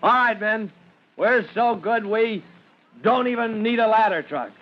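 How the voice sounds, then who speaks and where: An actor playing a brisk, jocular young fire lieutenant shouting short commands in an old-time radio drama. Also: male voice, sits high. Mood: rallying. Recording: dull old radio recording.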